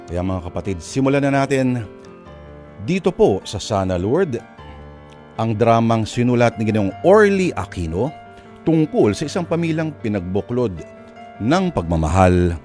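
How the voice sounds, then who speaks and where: A man with a Filipino accent hosting and narrating a radio drama, phrasing deliberately with dramatic pauses. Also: mid-range voice, big pitch swings, a little mellow. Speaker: host and narrator. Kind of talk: dramatic radio storytelling introduction. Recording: music under the voice.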